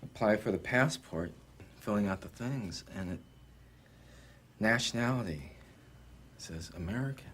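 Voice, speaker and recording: A man telling a personal story in a group discussion, animated and acting out what others said. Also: fairly low pitch, unhurried, pauses often, slight background noise. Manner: animated, acts out quoted dialogue.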